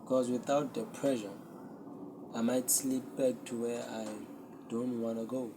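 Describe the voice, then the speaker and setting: A young man, a Zulu speaker, hosting his own podcast and talking earnestly and reflectively to a camera with many pauses. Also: medium pitch, little pitch movement, background noise. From South Africa. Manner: slow, earnest, self-searching, with pauses. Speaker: podcast host. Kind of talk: solo reflective talk to camera.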